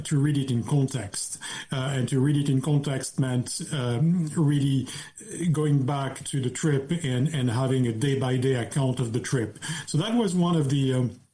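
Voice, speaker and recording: A man's voice: mid-range voice, warm tone. A man, a guest author, gives a long, detailed story-driven answer in a podcast interview.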